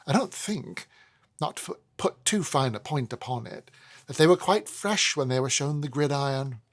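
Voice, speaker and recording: A man's voice: mid-range voice, animated, normal speed. A man voicing a timid, nervous middle-aged stationer with a fussy, hesitant manner. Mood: fussy, uneasy.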